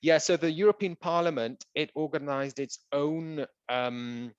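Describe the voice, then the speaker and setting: A man who works as a translator, answering audience questions in a relaxed, conversational way with frequent ums and uhs. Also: a little high, a little slow, clear, resonant tone, no room sound. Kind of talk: conversational Q&A presentation. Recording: dull, dry lo-fi audio.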